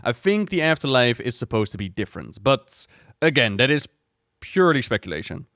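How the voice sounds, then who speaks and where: A man giving casual reaction commentary as a game lore streamer, musing out loud in a conversational way. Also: mid-range voice, sing-song, fairly quick, no room sound, studio quality.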